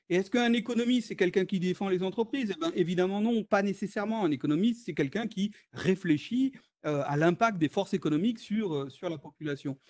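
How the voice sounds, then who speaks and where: A man's voice: sits high, warm tone. A French man, an economist, presenting in a video-call webinar, hesitant with many fillers and restarts. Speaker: economist guest speaker.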